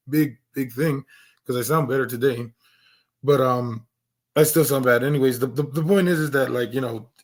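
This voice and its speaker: A hoarse man losing his voice, talking earnestly on a stream with lots of restarts and fillers. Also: a little high. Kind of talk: casual livestream monologue.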